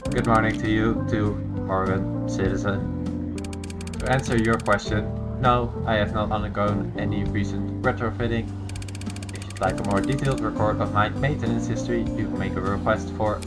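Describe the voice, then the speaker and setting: A man's voice: lowish voice, fairly even intonation, moderate pace, natural voice. Man voicing a stiff, formal robot cop over music. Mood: polite, official. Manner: stiff, official, procedural phrasing. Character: formal, literal-minded robot police officer. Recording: music behind.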